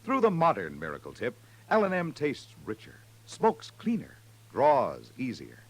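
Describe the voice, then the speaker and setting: A man's voice: mid-range voice, huge pitch swings, a little slow. A man reading a 1950s radio advertisement as commercial announcer, punchy and emphatic. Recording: noisy vintage radio recording with hum.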